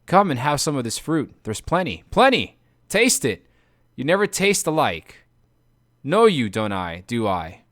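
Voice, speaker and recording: A man's voice: big pitch swings, a little slow, a bit bright, dry recording, clean studio sound. A man voicing a dazed, fruit-drunk man who slurs his words and presses food on others. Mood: wheedling. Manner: slurred, pushy, high.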